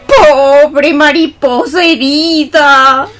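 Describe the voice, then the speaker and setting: A woman's voice: high-pitched, steady tone, very slow, fairly bright tone, faint music behind, full character voice. An actress playing a grey-haired, protective mother cockroach in radio theatre, declaiming with fiery melodrama. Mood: pitying, lyrical.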